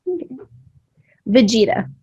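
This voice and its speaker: A woman's voice: on the high side, huge pitch swings, unhurried, vocal fry, mellow, husky, highs cut off. A middle-aged woman hosting a casual, chatty interview. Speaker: interview host. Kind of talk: casual chat.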